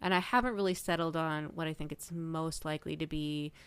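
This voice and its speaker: A woman's voice: deep voice, fairly even intonation, a little fast, clean tone, no room sound. A woman speaking as a podcast panelist in a casual fan discussion, thinking aloud in a thoughtful way.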